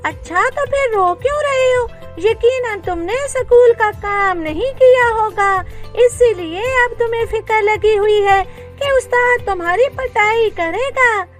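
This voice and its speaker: Woman voicing a very high-pitched, childlike little boy king. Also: a little slow, few pauses, soft background music. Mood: concerned, curious. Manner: childlike, eager and reassuring. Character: kind, earnest ten-year-old boy king.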